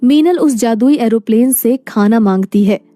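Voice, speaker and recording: A woman's voice: fairly high pitch, moderate pace, mellow, soft background music. A woman narrating a scripted moral story.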